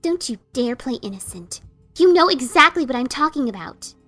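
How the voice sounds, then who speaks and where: A woman's voice: high voice, lively intonation, normal speed, pushed and bright, faint music behind, full character voice. A woman voicing a blunt, resentful pegasus pony mother in a fan dramatic reading, scolding and incredulous. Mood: angry, accusing.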